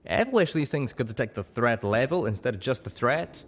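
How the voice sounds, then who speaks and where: A man's voice: medium pitch, lively intonation, a little fast, voice pushed up. A man voicing a wry, casual pegasus stallion fighter in armor.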